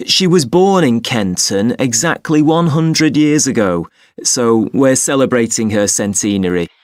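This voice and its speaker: A man playing a helpful, courteous festival box office clerk in a scripted listening-test dialogue, speaking clearly and informatively. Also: medium pitch, expressive, a little slow, no room sound, clean studio sound, lightly acted. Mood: helpful, polite.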